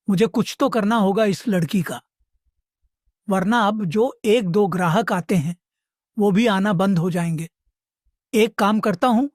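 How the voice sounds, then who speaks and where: A man's voice: sits high, no room sound, studio quality. A man voicing an angry, jealous food-cart vendor in a cartoon moral story, scolding and threatening. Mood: bitter, scheming. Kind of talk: animated moral story dialogue.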